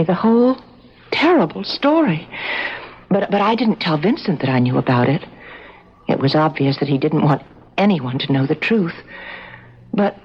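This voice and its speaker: An actress playing a concerned, gentle woman in an old-time radio drama, speaking earnestly and emotionally, sometimes hesitantly. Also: mid-range voice, slightly rough. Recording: dull lo-fi vintage radio broadcast.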